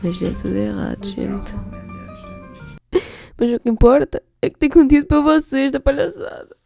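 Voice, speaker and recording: A woman's voice: a little high, lively intonation, moderate pace, creaky, dark tone, soft background music. A woman in her twenties narrating her travel vlog in voice-over, playful and joking as she talks straight to viewers. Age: 20s. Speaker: vlogger narrating.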